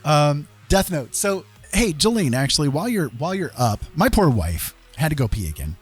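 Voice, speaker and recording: A man's voice: on the high side, big pitch swings, moderate pace, slightly rough, faint music behind. A middle-aged man chatting casually as a livestream host, rambling and emphatic, often repeating his phrases.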